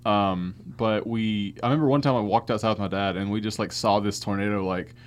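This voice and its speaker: A man's voice: lowish voice, fairly even intonation, fairly quick. A man, co-hosting a podcast in an easygoing, laughing conversational way. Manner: easygoing, laughs often, storytelling. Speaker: podcast co-host. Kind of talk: casual chat.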